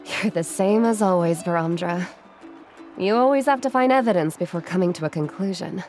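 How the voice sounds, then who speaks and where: A woman voicing a composed, gently teasing research assistant in a video game, chiding softly in careful sentences. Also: on the low side, moderate pace, soft background music, close to an everyday voice. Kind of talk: video game character voice acting.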